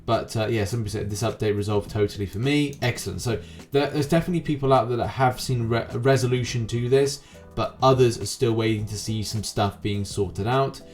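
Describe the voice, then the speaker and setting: A man's voice: mid-range voice, brisk, darkish tone. A man hosting a gaming news show, giving wordy, rambling commentary in a presenter's style. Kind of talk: YouTube gaming news commentary, semi-scripted. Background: background music.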